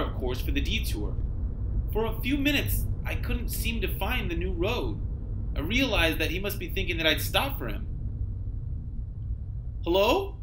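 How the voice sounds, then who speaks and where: A man giving an expressive, theatrical first-person story narration, read aloud for children. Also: high voice. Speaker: reader for children. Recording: roomy echo, clear background noise.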